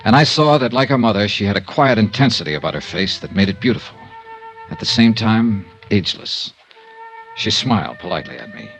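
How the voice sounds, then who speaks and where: A man's voice: on the low side, very animated, brisk, gravelly, a bit bright, heavily acted, low-quality recording. An American man playing a cool, courteous insurance investigator in 1950s noir radio drama, with a clipped, world-weary delivery. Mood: wistful. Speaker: lead actor and narrator. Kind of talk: 1950s noir radio drama acting and first-person narration. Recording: vintage radio recording with music.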